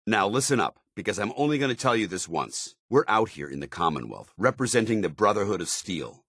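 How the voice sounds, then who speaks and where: Video game military paladin: very low, animated delivery, honorable, stern, authoritative, clipped military diction. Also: male voice, no room sound, clean studio sound. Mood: exasperated, scolding. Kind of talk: video game companion dialogue.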